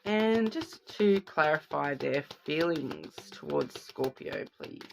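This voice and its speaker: Woman card reader with a head cold, sniffly, gravelly edge, chatty, keeps checking in with viewers. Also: low voice, expressive, slow, a little hiss or hum. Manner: chatty, frequent "okay?" check-ins. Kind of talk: casual card reading to viewers.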